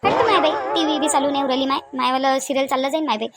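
A woman voicing chatty, bustling village women in a cartoon comedy, with a Varhadi Marathi accent and colloquial, animated chatter. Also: high voice, rapid-fire, music in the background. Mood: fretful. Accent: Varhadi Marathi from Vidarbha.

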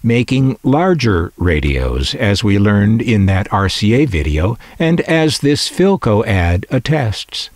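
An American man narrating a scripted documentary-style video as its host, with a wry, opinionated, measured manner. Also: lowish voice, sing-song, no room sound. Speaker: host of a vintage radio collecting channel.

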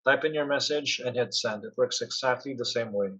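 A man with a slightly husky voice walks through a software demo. He explains things step by step in an instructional way at a medium pitch. The recording is dull and lo-fi. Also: brisk. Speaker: product demonstrator. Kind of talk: software tutorial narration.

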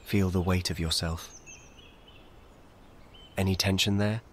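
A man guides a meditation as scripted narration, speaking calmly in measured, soothing, short and gentle instructions. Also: deep voice, slight background noise.

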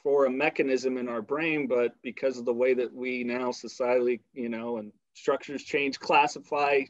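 A man's voice: medium pitch, fairly even intonation, moderate pace, no echo, lo-fi sound. Blunt, rambling male podcast host. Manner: rambling, blunt, swears freely. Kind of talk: casual interview conversation.

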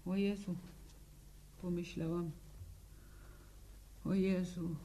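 An actress playing a grieving, stubborn village widow in a 1960s Polish radio drama, speaking plainly and haltingly in a sombre rural manner. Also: female voice, lowish voice, level tone, dark tone. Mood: haunted, brooding. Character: grieving peasant war widow, stubborn, haunted.

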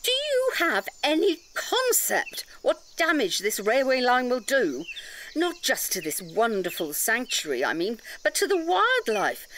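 An actress playing an indignant, articulate defender of a nature sanctuary in an audio drama, challenging and emphatic. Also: female voice, a little high, fairly bright tone, slight background noise.

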